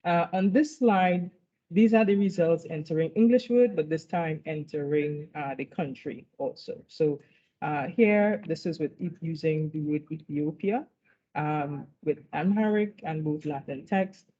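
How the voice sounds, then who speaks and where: Academic woman presenter, measured delivery with "right?" check-ins, mellow tone, dull lo-fi recording. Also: low voice. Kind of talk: conference talk walking through slides.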